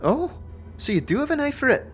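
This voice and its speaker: A young female street thief, wary and sharp-tongued. Her voice swings up and down as she teases and scoffs in slang, with faint music behind her in this fantasy audio drama. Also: high voice, very animated, brisk.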